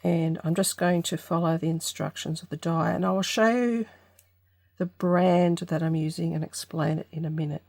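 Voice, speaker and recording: A woman's voice: low-pitched, fairly even intonation, a little mellow, no room sound, studio quality. Congested woman thinking aloud over crafts. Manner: unhurried, tentative, thinking aloud. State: congested from allergy. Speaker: craft channel host. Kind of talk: casual craft tutorial vlog.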